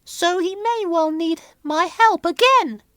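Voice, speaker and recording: A woman's voice: very high voice, slow, fairly bright tone, dry recording, clean studio sound, pitched above the performer's own voice, heavily acted. A woman voicing a rude, proud old female steam lorry in a children's storybook, brusque and scolding. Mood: haughty, scolding.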